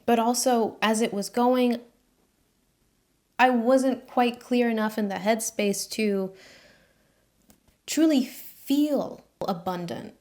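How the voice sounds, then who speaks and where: A woman's voice: on the high side, slow pace, long pauses, a little mellow. A woman in her twenties with an American accent, a freelance designer talking candidly to camera in a casual vlog, with slangy asides. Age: 20s.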